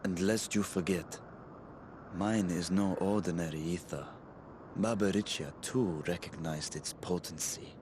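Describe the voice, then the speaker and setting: A man's voice: low-pitched, steady tone, measured pace, noisy background. An actor playing a proud, grave male dragon in a fantasy video game, speaking solemnly and formally. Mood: solemn, resolute.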